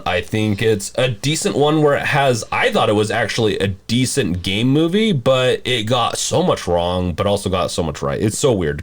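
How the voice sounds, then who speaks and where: A man hosting a casual movie discussion podcast, talking in a halting, rambling way and often rephrasing mid-sentence. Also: lowish voice. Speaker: podcast host.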